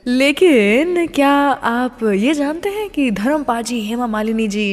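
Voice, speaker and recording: A woman's voice: fairly high pitch, long reverb tail. A woman with an Indian accent presenting a scripted radio show, addressing listeners directly and mixing in English phrases.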